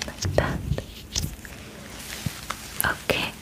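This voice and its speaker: Slow whispering alien examiner, played by a woman. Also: poor audio. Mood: calm, clinical. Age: 20s. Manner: slow, clinical, formal, gently reassuring. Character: calm, methodical female alien examiner inspecting a human. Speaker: ASMR performer. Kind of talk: whispered ASMR roleplay. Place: bedroom studio, indoors. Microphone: close ASMR microphone.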